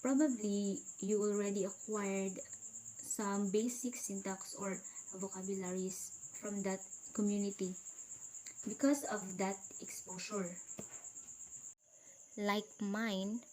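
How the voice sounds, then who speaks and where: Slow, mellow Filipino woman teacher. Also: medium pitch, steady tone, slight background noise, low-quality recording. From Philippines. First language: Cebuano. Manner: reads points from slides, slow and deliberate. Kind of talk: recorded video lecture.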